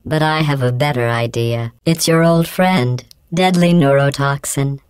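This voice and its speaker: A woman playing a coldly menacing, sarcastic female artificial intelligence in a video game, deadpan and falsely polite, with robotic processing on the voice. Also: very deep voice, smooth, no echo, studio quality. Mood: smug, menacing. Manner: deadpan, passive-aggressive, falsely polite, measured enunciation. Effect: robotic synthesized voice processing. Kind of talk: scripted video game voice acting.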